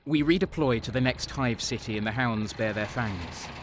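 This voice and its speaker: Guy playing a cruel renegade soldier narrating war drama, intense n menacing, rumbly noise behind him. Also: moderate pace, lightly acted. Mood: grim, scheming. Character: sadistic traitor guardsman, addicted to slaughter, grim and defiant. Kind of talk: dramatic first-person audio drama narration.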